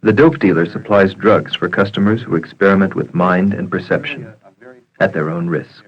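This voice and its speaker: A man's voice: sits low, steady tone, normal speed, lo-fi sound. A man reading formal, scripted narration for an educational film.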